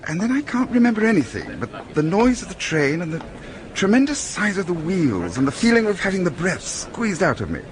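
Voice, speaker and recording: An actor playing a brusque, stoical injured doctor with an educated English accent, shaken and in pain, in a radio drama. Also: male voice, sits high, huge pitch swings. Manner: clipped, brisk, matter-of-fact. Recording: busy crowd noise behind.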